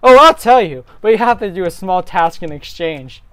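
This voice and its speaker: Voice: mid-range voice, lively intonation, normal speed, fairly bright tone, smooth, dry recording, lightly acted. A performer voicing a cagey ghostly hero of old in a fantasy story, teasing and a little patronizing.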